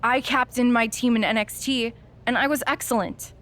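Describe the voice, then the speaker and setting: A woman's voice: on the high side, fairly even intonation, normal speed, fairly bright tone, natural voice. An actress playing a scrappy, persistent young woman wrestler, pointed and earnest, in a scripted video game cutscene. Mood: insistent, goading.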